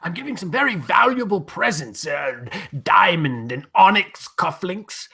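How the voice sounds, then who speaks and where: Man putting on a raspy, high-pitched, earnest lord. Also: lively intonation, unhurried, sharp tone, full character voice. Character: well-off, earnest, troubled English lord, old friend. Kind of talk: old-time radio drama spoof.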